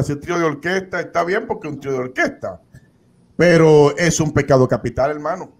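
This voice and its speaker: A man, an evangelist guest on a streamed talk show, giving his opinion in an emphatic, moralizing way. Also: a little high. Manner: emphatic, moralizing, repeats himself. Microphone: studio mic on video call.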